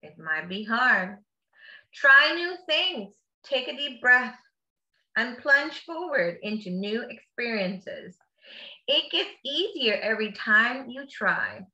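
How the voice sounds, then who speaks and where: A woman with a very bright, forward voice reads a children's book aloud at a slow, deliberate pace. She sounds like an encouraging teacher, stretching key words and adding simple asides. The room gives her voice a clear echo. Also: fairly high pitch, very animated. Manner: slow, drawn-out emphatic reading. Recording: roomy echo, slightly dull sound.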